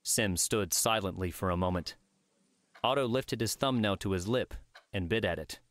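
A man's voice: on the low side, moderate pace, a bit bright. A man reading scripted story narration as an audiobook narrator.

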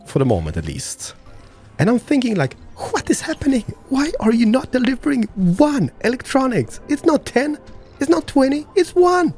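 A hoarse man losing his voice, hosting excitable gameplay commentary with sudden high-pitched exclamations. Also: moderate pace, soft background music. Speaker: gaming channel host.

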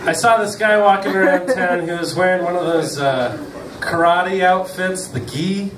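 A young man doing deadpan stand-up on a small club stage, pausing on many uh's between one-liners, picked up by a camera at the back of the room. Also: high-pitched. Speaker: stand-up comedian. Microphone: stand mic, filmed from the back of the room. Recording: roomy distant club recording with loud crowd noise.

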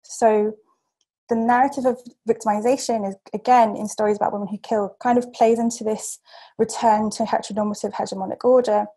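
Young woman seminar speaker on a webcam call, reading her paper at a measured pace with ums and restarts; warm, slightly husky voice. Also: mid-range voice, rough recording. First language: English. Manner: measured, with frequent ums and self-corrections.

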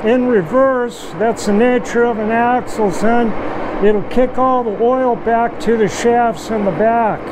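A man's voice: very high-pitched, fairly even intonation, unhurried. An older man, a machinist, giving a casual, plainspoken workshop demonstration as he works, explaining each step. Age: 60s or older. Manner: plainspoken, hands-on explaining while working. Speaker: machinist presenter. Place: small home machine shop, indoors. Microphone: furry lavalier clipped at chest. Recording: loud machinery noise behind voice. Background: running lathe racket.

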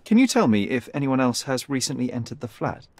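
An actor playing a young detective in a video game, analytical, cool and polite. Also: male voice, medium pitch, big pitch swings, a little fast, no room sound. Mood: insistent. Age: young adult. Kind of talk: video game cutscene acting.

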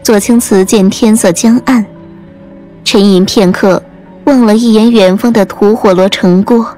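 A woman's voice: mid-range voice, steady tone, unhurried, dark tone. A woman reading scripted audiobook narration as the storyteller. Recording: music under the voice.

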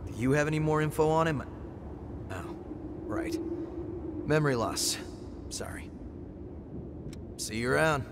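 A calm young revenant warrior. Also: male voice, fairly high pitch, unhurried, long pauses. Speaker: game character. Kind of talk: video game cutscene dialogue. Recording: game audio with steady background noise.

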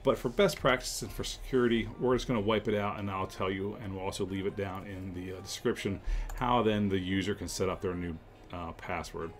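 A man hosting a casual software tutorial, explaining informally step by step. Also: sits low, steady tone, fairly quick. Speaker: tutorial host, telecom technician.

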